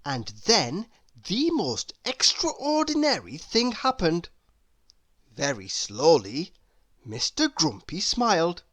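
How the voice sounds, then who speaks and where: A woman narrating a children's story in an expressive, emphatic read-aloud style. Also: lowish voice, no echo. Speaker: storybook reader.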